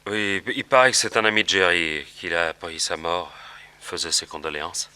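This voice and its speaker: A hunted young fellow in an old radio drama. His voice is very sharp and forceful, curt and wary, with an anxious, hot-tempered edge. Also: deep voice, steady tone, a little fast, clean tone. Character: anxious, suspicious hunted young man, quick to anger. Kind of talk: old French radio serial acting.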